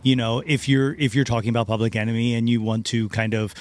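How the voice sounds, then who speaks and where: A man's voice: medium pitch, little pitch movement, fast talker, darkish tone. A man hosting a casual podcast interview, talking in an effusive, complimentary way. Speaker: podcast host.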